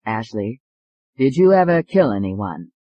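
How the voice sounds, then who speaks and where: A narrator voicing a calm male psychiatrist with a slow, soothing manner in an audiobook. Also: medium pitch, no echo, highs cut off, voice pushed down. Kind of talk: audiobook character voice.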